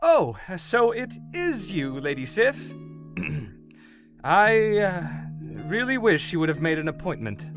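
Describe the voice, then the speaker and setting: An awkward, polite angel acted by a man from an audio drama. His speech is formal, evasive and halting, with animated intonation, and music plays clearly behind him. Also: sits high, heavily acted. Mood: flustered.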